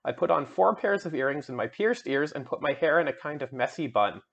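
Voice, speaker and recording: A man's voice: fairly high pitch, lively intonation, a little fast, gravelly, a bit bright, exaggerated voice. A man voicing a melodramatic teenage goth vampire schoolgirl in an emphatic, petulant dramatic fan fiction reading. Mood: earnest, dramatic. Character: melodramatic seventeen-year-old goth vampire schoolgirl narrator.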